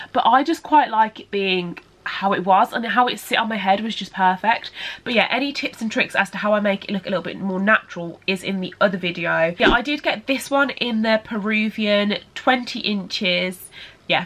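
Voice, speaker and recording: A woman's voice: mid-range voice, fairly bright tone. Young adult woman, beauty vlogger, nasal from a cold, chatty and rambling to camera. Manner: chatty, rambling, fillers like "like" and "whatnot". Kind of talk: casual vlog chat to camera.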